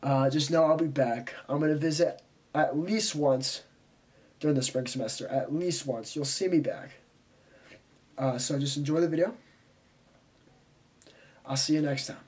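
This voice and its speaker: A young man with a head cold talks straight into a close camera in a small bedroom. His stuffy, congested voice sits slightly high, and he rambles casually, jumping between asides. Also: a little mellow. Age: young adult. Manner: rambling, chatty, quick asides. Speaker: vlogger. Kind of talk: casual vlog talk to camera. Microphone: camera in front at close range.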